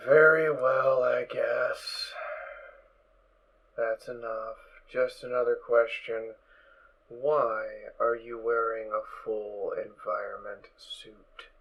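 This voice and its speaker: Man playing a slow, curt pony guard corporal. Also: mid-range voice, steady tone, a bit bright, low-quality recording. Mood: suspicious, resigned. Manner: curt, official, mildly annoyed. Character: weary, gruff pony guard corporal, adult male.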